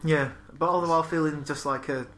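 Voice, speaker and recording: A man's voice: a little high, steady tone, fairly quick, a bit bright, a little hiss or hum. A man chatting casually as a co-host, informal and sweary, acting out bits of dialogue as he tells stories. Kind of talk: casual chat with anecdotes.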